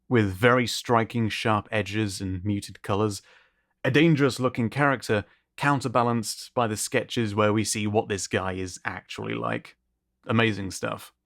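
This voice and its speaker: A man's voice: lowish voice, no room sound. Man hosting a showcase, dry close recording. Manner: appreciative, descriptive. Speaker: host of an art contest showcase. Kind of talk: scripted showcase commentary.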